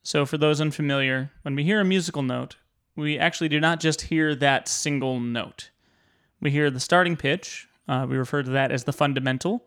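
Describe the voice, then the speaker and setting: A man with a smooth, resonant voice set a little high introduces himself as a music teacher. He talks in a measured way and pauses often on "uh.". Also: a bit bright. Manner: measured, with frequent "uh" fillers. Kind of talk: prepared guest introduction.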